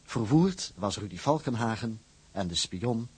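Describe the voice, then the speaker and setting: A man announcing scripted closing credits for a radio drama in a formal, measured manner. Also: fairly low pitch, expressive, a little mellow, husky, poor audio.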